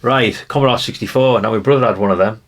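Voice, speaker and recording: A man's voice: on the low side, fast, slight background noise. A man, giving casual, nostalgic commentary in a rambling, chatty way, musing aloud to the viewer. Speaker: retro collector presenting a video.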